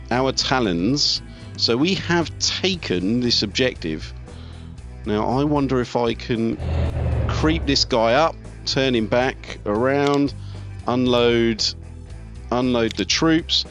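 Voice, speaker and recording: A man giving casual live commentary on a game, thinking aloud as he talks through his tactics. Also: medium pitch. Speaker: let's play host. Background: video game music, artillery fire and vehicles.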